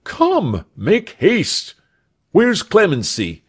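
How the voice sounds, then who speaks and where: A man voicing an impatient doctor in a storybook, loudly and briskly questioning and ordering his servant about. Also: high voice, huge pitch swings, slightly rough, voice pushed up, full character voice. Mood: urging haste. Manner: loud, exclamatory, brisk questioning. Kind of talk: storybook character voice.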